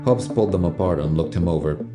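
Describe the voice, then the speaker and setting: A man's voice: low voice, fast talker. A man narrating an audio drama in a scripted storytelling style. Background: music.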